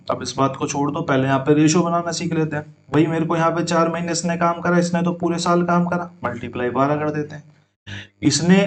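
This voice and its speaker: Young male math tutor, step-by-step explainer, slightly higher pitch. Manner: talks students through each step, addressing them as brother. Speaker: math teacher. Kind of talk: exam-prep math lesson.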